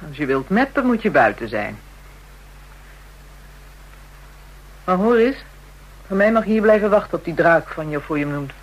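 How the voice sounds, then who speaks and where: A woman's voice: fairly low pitch, big pitch swings, fast, muffled recording. An actress playing a coarse, brazen, spiteful call girl in a radio drama, talking in crude, taunting slang. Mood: taunting, grudging.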